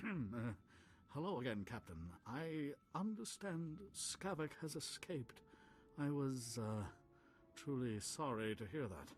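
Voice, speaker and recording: A man's voice: mid-range voice, lively intonation, slow, soft background music. An actor playing a pompous, timid nobleman in a video game, speaking in a formal, fussy and hesitant way. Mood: apologetic, flustered. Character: pompous, flustered, obsequious male nobleman, timid and flowery.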